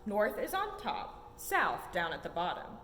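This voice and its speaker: A young adult woman reads a rhyming children's picture book aloud in an expressive, sing-song way with emphatic swoops. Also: a little slow. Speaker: story time reader at a museum. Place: indoor museum exhibit room. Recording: echoey room with low rumbling noise.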